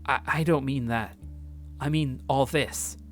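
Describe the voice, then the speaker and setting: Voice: mid-range voice, unhurried, faint music behind, natural voice. A performer reading a visual novel and voicing a grumpy, withdrawn young man who grumbles hesitantly. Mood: grumpy, defensive. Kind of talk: visual novel character read-aloud.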